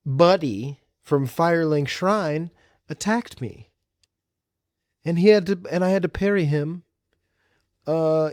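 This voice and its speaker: A man's voice: a little high, no echo, clean studio sound. A man hosting a solo podcast, chatting casually and informally about films and games in slangy, hesitant phrases. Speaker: podcast host.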